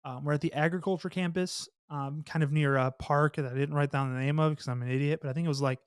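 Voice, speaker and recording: A young man hosting a casual podcast, slightly hoarse, rambling and laughing as he talks, swearing now and then, on a studio mic in a small home studio. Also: a little high, fairly even intonation, fast talker, no echo. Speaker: podcast host. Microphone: studio mic with headphones, on camera.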